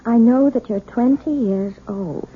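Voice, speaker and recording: An actress playing a gentle, anxious battered wife in a 1970s radio drama, hesitant and pleading. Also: female voice, fairly high pitch, unhurried, slight background noise, lightly acted. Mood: gentle, placating. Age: adult, older than twenty. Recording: tinny, band-limited old radio broadcast.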